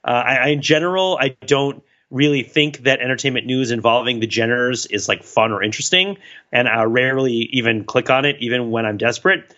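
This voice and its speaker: Male podcast co-host, forceful bright tone, wry and wordy, slightly muffled lo-fi track. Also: mid-range voice, a little fast. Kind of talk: casual podcast chat, storytelling. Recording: dull, lo-fi voice track.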